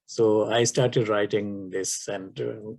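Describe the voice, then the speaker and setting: An older man from Pakistan, whose first language is Urdu, answering interview questions slowly with many pauses and fillers. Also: on the low side, steady tone, vocal fry, a little mellow. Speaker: author guest.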